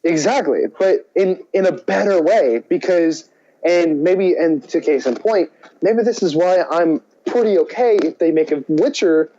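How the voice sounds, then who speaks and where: Higher-voiced man, halting, slightly muffled sound. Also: a little mellow. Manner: halting, circles around his point. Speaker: podcast co-host. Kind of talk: casual chat.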